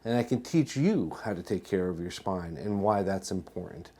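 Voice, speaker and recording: A man's voice: low voice, animated, moderate pace, dark tone. An American man presenting an educational health talk as a chiropractor, emphatic, asking rhetorical questions and repeating his points. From New Jersey, United States.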